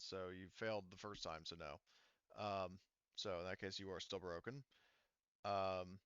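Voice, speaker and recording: A man's voice: low voice, a bit bright, smooth, no room sound, dull audio. A man casually narrating a tabletop game as game master, thinking aloud through the rules in an informal way.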